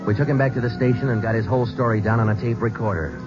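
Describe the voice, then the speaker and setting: A man's voice: mid-range voice, racing. A man narrating as a police detective in a 1940s radio drama, clipped and matter-of-fact. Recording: dull old radio broadcast with music.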